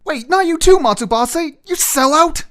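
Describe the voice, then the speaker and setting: A woman's voice: high voice, expressive, moderate pace, a bit bright. A woman voicing a hapless, whiny airhead in an anime dub, flustered and complaining. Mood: indignant. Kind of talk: anime fan dub acting.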